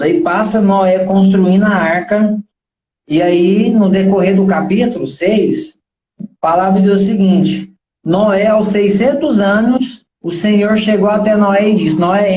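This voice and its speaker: A man's voice: high voice, a little mellow, echoey room, low-quality recording. A man in his thirties with a Brazilian accent, giving an informal motivational talk in an earnest, preachy and colloquial way, often posing rhetorical questions.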